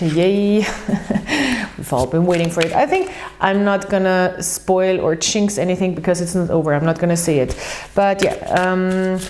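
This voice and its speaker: A woman's voice: mid-range voice, darkish tone, slight background noise. Chatty Austrian woman reading tarot. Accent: Austrian German. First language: German. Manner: chatty, rambling, with many ums and restarts. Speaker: tarot reader. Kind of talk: casual monthly astrology reading.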